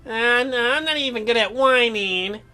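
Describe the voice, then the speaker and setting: A woman's voice: fairly high pitch, normal speed, bright, forceful tone, soft background music. Woman putting on a whiny, mopey teenage boy. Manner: whiny, dejected.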